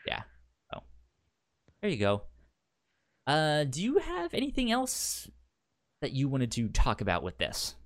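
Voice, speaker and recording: A man hosting a casual book discussion show, talking informally and haltingly with a stutter that repeats sounds and syllables. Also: fairly high pitch, wildly swooping pitch. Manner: halting, informal, thinking aloud. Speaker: podcast host.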